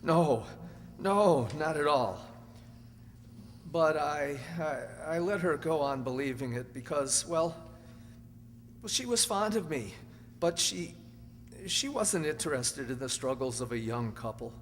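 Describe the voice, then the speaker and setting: A man playing an earnest, anxious young husband in an amateur radio drama, halting and emphatic as he pleads. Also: fairly high pitch, lively intonation. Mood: explaining. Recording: echoey hall, faint hum.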